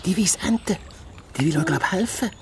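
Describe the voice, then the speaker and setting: A woman's voice: fairly low pitch, animated, normal speed, a crowd in the background, natural voice. A woman playing a kindly, protective male hedgehog detective's assistant, earnest and coaxing, in a children's radio play. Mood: coaxing, reassuring.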